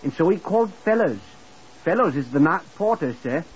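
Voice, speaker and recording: A man's voice: fairly high pitch, sing-song, moderate pace. An actor playing a naive, talkative hotel lift boy from Lancashire, eager and deferential, in a 1950s radio drama. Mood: eager, chatty. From Blackpool, Lancashire, England. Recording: muffled, hissy 1950s radio recording.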